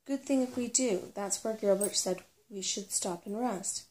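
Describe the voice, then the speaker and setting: A woman's voice: medium pitch, a little slow, mellow, natural voice, rough recording. Crabby, sarcastic little female brownie, voiced by a woman. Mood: irritated. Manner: snappish, bossy, sarcastic. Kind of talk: storybook character reading.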